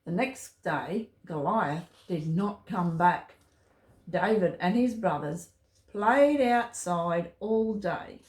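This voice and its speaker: Children's storyteller, female, lowish voice, very unhurried read-aloud delivery, echoey room. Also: expressive, darkish tone. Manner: simple, deliberate, addressing children. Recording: roomy, echoey home recording.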